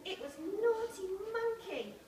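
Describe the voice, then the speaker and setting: A young woman reads a picture book aloud to young children in simple, deliberate phrases, with asides to her listeners, recorded from a distance in a school hall. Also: very high voice, big pitch swings. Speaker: storyteller reading to young schoolchildren. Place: large indoor primary school hall. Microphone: camera several metres away. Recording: echoey school hall, distant camera, noisy room.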